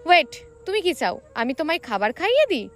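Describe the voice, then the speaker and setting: A woman's voice: sits high, sing-song, a little fast, a bit bright, heavily acted. A woman narrator voicing a stern, bossy young male love interest in an audio story, curt and teasing. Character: stern, bossy, secretly smitten young man.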